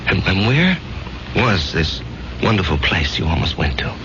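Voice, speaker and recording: An actor playing a troubled, devoted man in a 1970s radio drama, halting and repeating his words. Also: low-pitched, big pitch swings, background noise. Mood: uneasy, probing. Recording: dull 1970s radio recording, loud music.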